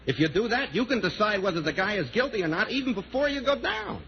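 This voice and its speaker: A man's voice: sits high, very animated, fast, gravelly, exaggerated voice. A man playing a sly, wisecracking best friend in a 1940s radio comedy, sardonic and falsely sincere. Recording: muffled, very noisy 1940s radio recording.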